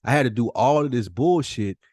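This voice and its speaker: A man's voice: mid-range voice, lively intonation, brisk, a little mellow, no room sound, clean studio sound. A man co-hosting a podcast, chatting casually in a reflective, slangy and profane way. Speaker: podcast co-host. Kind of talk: casual chat.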